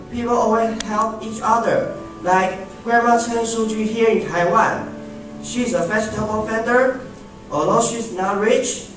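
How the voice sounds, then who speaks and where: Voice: medium pitch, natural voice. An amateur radio drama performer playing a kind, earnest male alien who runs a small cafe. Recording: echoey hall from far off, music behind.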